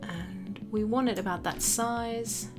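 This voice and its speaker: A woman narrating a step-by-step software tutorial as an instructor, explaining each action as she goes. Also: mid-range voice, fairly even intonation, takes it slowly. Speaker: graphic design tutorial instructor. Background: background music.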